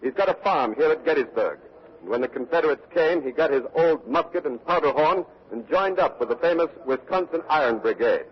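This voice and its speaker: A man's voice: a little high, animated, a little fast, slightly rough. An actor playing an earnest male war correspondent, formal and urgent, in a 1940s radio news dramatization. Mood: probing. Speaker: radio reporter and interviewer. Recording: dull vintage radio broadcast recording.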